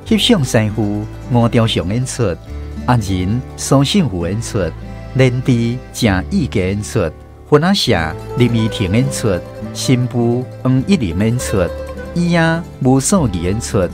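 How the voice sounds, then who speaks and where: A man's voice: medium pitch, sing-song, unhurried. A man with a Taiwanese accent reading scripted announcements as the host of a radio programme. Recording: music bed behind the voice. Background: instrumental music.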